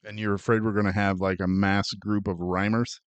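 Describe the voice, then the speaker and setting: A man's voice: fairly low pitch, little pitch movement, a little fast, clear, resonant tone, dry recording, muffled recording. A man co-hosting a casual film review chat, bantering in a dry, teasing way. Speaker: podcast co-host.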